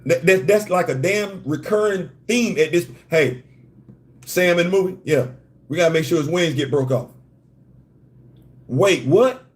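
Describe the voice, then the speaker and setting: A man from New York with a hint of a Southern accent hosts a live stream, his voice sitting a little high for a man. He talks in emphatic, slangy bursts, repeating lines for weight and calling out to viewers as they join. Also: unhurried, pauses often. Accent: Southern-tinged New York. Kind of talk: animated live-stream commentary.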